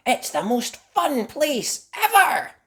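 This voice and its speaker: A woman voicing an eager, excited little girl mouse in a children's storybook, drawing out her exclamations. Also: high voice, voice pushed up, heavily acted. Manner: exclaiming, eager, drawn-out words.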